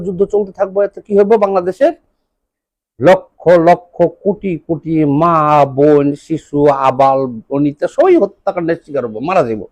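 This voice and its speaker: A man's voice: high-pitched, a little mellow, dry recording, low-quality recording. A man with a Bangladeshi accent delivering an impassioned political monologue, emphatic and scolding, throwing out rhetorical questions. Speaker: political commentator.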